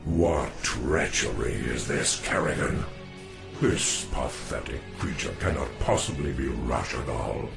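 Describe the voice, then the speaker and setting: A man playing an ancient, solemn alien warrior in a video game, speaking gravely and formally with a deep gravelly voice. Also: full character voice. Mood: accusing, stern. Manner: slow, grave, formal speech. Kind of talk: dramatic video game acting. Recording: loud game music underneath.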